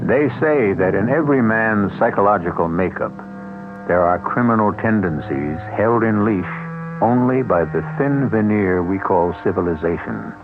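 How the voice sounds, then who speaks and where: Grave deep-voiced male radio narrator. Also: animated, over music. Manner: grave, measured. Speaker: show host and narrator. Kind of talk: scripted narration. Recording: tinny old radio broadcast.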